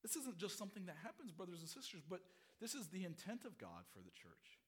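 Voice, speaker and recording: Higher-pitched guy preaching w/ a cold, hoarse, earnest, kinda repeats himself. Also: huge pitch swings, quick pace, a bit bright. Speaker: pastor. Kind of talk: sermon.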